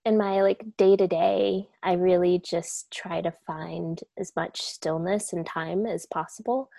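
A young woman talks in a low, gravelly, mellow voice, laid-back and full of casual fillers. She comes across as a reflective guest in an easy conversation. The sound is dry and close. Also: steady tone, measured pace, no room sound. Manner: soft-spoken, laid-back, many likes and you-knows.

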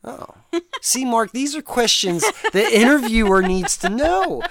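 A man's voice: very high voice, animated, unhurried, gravelly, fairly bright tone. A man hosting a podcast, crude and joking, laughing often in casual chat. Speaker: podcast host.

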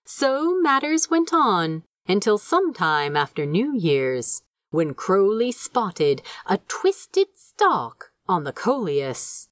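A woman reading a scripted story as narrator, in an expressive, dramatic storytelling manner. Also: medium pitch, slow pace, hardly any pauses, dry recording, clean studio sound. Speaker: fan fiction audiobook narrator.

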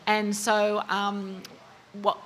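An Australian woman speaks about her writing at a mid pitch, in a loose and chatty way. She stops often for fillers and corrects herself mid-sentence. A faint hiss of noise runs under the recording. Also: monotone, extremely slow, a bit bright. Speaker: author. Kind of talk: interview at a book talk.

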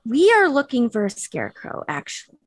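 High, animated woman playing a tabletop game. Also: brisk, dry recording, studio quality. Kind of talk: casual in-character game chat.

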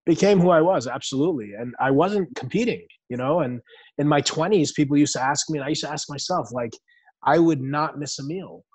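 A man's voice: a little high, fairly quick, husky, no room sound. A man in his forties, born in Iran and raised in the U.S., telling his life story as an interview guest in an earnest, reflective way. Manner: earnest, reflective, many restarts. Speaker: guest, bodybuilder.

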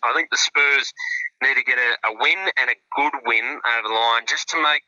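A man with an Australian accent giving relaxed, chatty football predictions on a radio show, with fillers and restarts. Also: mid-range voice, fairly quick, dry recording, lo-fi sound. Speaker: football pundit, regular guest. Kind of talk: casual radio sports analysis.

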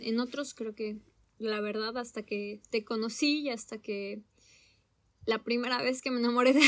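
A young Mexican woman chatting in a relaxed conversation, her voice sitting a little high. She takes her time and pauses often with small hesitations as she thinks out loud. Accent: Mexican Spanish. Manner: slow, thoughtful, with many hesitations. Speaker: conversation partner. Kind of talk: casual conversation.

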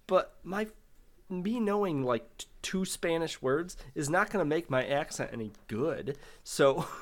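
Exasperated man ranting about a bad movie. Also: fairly high pitch. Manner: profane, exasperated, animated ranting. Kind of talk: casual movie review chat.

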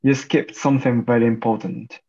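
A man's voice: medium pitch, flat delivery, fairly quick, warm tone, husky, lo-fi sound. A young man from Japan, an English speaker, chatting casually with many fillers. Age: young adult. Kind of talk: casual chat.

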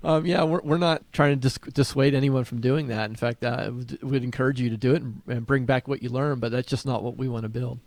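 A man's voice: mid-range voice, steady tone, brisk, few pauses, darkish tone, no room sound. A man co-hosting a podcast in casual conversation, informal and thinking aloud as he rephrases his points. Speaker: podcast co-host.

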